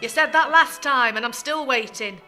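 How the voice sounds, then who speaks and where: An actress as a cheeky British wartime cook with a fairly high voice, brisk and scolding, in the manner of a 1940s radio comedy. Music plays clearly behind her banter. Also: close to an everyday voice. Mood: mock scolding. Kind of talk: 1940s comic radio play acting.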